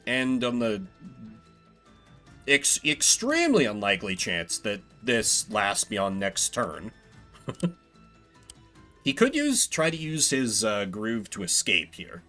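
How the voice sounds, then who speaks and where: A man streaming a video game, commenting excitedly and swearing freely. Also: fairly low pitch, slow, pauses often. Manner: profane, animated. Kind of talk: excited gaming livestream commentary. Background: game music.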